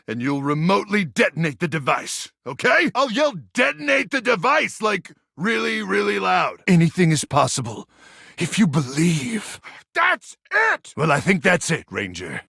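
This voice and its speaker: A cocky, goofy wasteland soldier from a video game, with a forceful, gravelly voice. He delivers everything like a dramatic mission briefing, swooping up and down with macho bravado and dropping into deadpan asides. The sound is close and dry. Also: high-pitched, no room sound, exaggerated voice. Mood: rousing, grandiose. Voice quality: gravelly, raspy. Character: gung-ho, cocky, goofy male post-apocalyptic soldier, bossy and macho. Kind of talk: exaggerated video game character acting.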